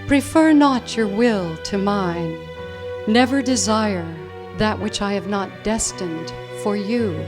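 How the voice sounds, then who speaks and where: A woman giving a solemn dramatic reading with a measured, reverent delivery at a live program. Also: medium pitch. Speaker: reader. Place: live holy day program. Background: music.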